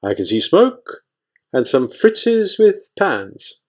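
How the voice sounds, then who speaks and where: A man's voice: sits high, big pitch swings, slow, voice pushed up, heavily acted. A man doing a sing-song young soldier spotter. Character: cunning, simple-hearted young male soldier observer.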